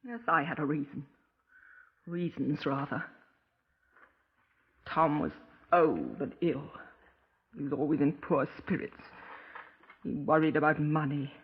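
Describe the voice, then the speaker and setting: An actress playing a distraught, self-pitying middle-aged wife making a halting, insistent confession in a 1950s radio crime drama. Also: female voice, deep voice, breathy edge, exaggerated voice. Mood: weary, bitter. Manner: halting, brooding, insistent, with rhetorical tag questions. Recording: muffled, lo-fi 1950s radio recording.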